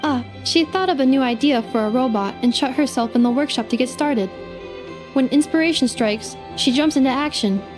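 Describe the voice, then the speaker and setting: A calm robot helper played by a woman explains things in a measured, formal manner with a slightly raised voice. Faint music sits behind her in this game scene. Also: high-pitched, lightly acted. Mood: explaining. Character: gentle female robot companion, calm and thoughtful. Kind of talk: video game voice acting.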